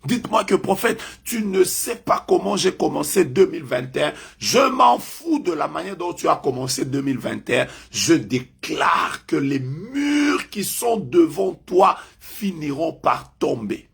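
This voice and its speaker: A French-speaking man leading a live online prayer as a prophet, making emphatic, repetitive declarations that suddenly rise loud. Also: on the high side, sing-song, a little slow, gravelly, hardly any pauses, husky.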